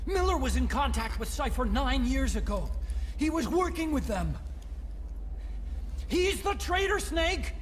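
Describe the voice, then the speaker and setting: Frantic, very high-pitched male scientist. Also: a little slow, pauses often, faint music behind, exaggerated voice. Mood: defensive, pleading. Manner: excitable, whiny, protesting. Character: frightened, indignant male scientist, pleading and defensive. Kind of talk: dramatic video game voice acting.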